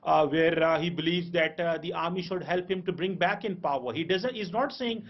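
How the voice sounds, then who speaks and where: A male commentator with a Pakistani English accent explains his view in a high voice. He speaks haltingly and circles back over his points, and the audio is slightly dull. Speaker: political commentator, guest. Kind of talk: live interview analysis.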